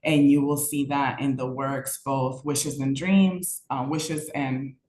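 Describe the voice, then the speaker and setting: A woman poet introducing herself on an artist panel over a video call, speaking in a measured way with frequent ums. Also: very deep voice, fairly even intonation, a little mellow. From New York. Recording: roomy call audio with faint noise.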